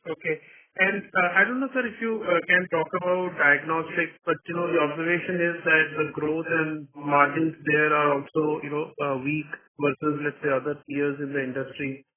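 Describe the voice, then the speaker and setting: A man's voice: a little high, fairly even intonation. Tentative man, phone-line voice. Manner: tentative, halting questions. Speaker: analyst asking questions. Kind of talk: earnings call questioning.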